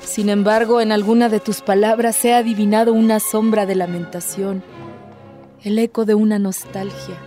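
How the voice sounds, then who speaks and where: A woman's voice: medium pitch, level tone, moderate pace, mellow, faint music behind, natural voice. An actress playing an impassioned, devout woman who pleads earnestly, with theatrical, declamatory delivery in a radio drama. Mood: earnest, tender pleading.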